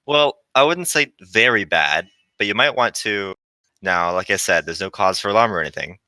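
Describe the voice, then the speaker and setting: A man's voice: lowish voice, lively intonation, normal speed, pushed and bright, no room sound. A man voicing a polite, chatty, unflappable AI shuttle navigator in a visual novel, with courteous, understated delivery. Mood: nervously reassuring. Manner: courteous, formal, soothingly understated. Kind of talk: visual novel character reading.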